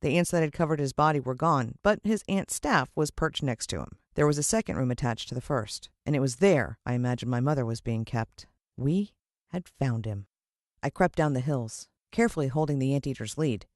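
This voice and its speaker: Female American narrator for children's fiction: expressive, suspenseful storyteller, dry sound. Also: extremely low pitch, fairly quick, no echo. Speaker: audiobook narrator.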